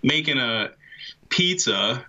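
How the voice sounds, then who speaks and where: A man's voice: medium pitch, expressive, slow, pushed and bright. A young man chatting casually as a podcast guest, enthusiastic and slangy, over a video call. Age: young adult. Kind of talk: casual chat. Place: small room at home. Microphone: video call, webcam at arm's length. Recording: dull lo-fi video call audio.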